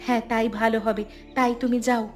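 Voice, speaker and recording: A woman's voice: fairly high pitch, little pitch movement, quick pace, natural voice. A woman voicing an earnest, questioning village woman in a cartoon, with music playing behind. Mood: concerned, matter-of-fact. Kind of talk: Bengali cartoon voice acting.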